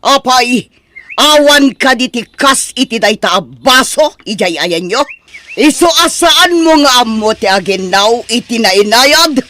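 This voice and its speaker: A woman with an Ilocano accent playing a sharp-tongued, disapproving middle-aged mother-in-law in an audio drama, scolding and emphatic. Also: a little high, expressive, a little slow, no echo. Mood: angry scolding.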